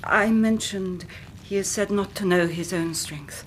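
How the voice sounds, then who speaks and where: A woman's voice: medium pitch, a little slow, a little hiss or hum, lightly acted. A woman acting an anxious, polite young woman in a radio drama, speaking in an earnest, careful, well-spoken way. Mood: embarrassed.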